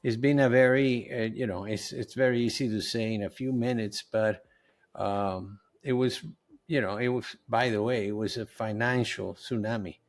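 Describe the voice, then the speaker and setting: A man's voice: mid-range voice, fairly even intonation, normal speed. Give me a middle-aged man, a native Spanish speaker, explaining earnestly in a loose interview style. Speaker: podcast guest, nutritionist and health coach. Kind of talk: conversational interview.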